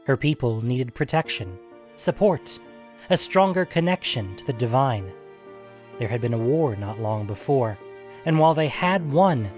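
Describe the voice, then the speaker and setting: Measured male storyteller, medium pitch. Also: a little mellow. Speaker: narrator and host of an audio fiction show. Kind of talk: scripted dramatic story narration.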